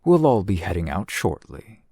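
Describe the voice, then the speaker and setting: A man playing a courteous, shrewd fantasy shopkeeper in an ASMR roleplay, speaking in formal, measured, polite phrases. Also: low voice, very animated, mellow, husky, no echo, clean studio sound, exaggerated voice. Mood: decisive. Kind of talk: ASMR roleplay, scripted character acting.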